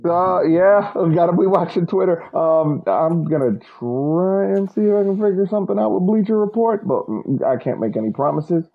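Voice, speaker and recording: A man's voice: high voice, expressive, normal speed, gravelly, few pauses. A man co-hosting a fan podcast, giving blunt, analytical takes. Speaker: podcast co-host. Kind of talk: casual podcast chat. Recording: tinny phone-like line.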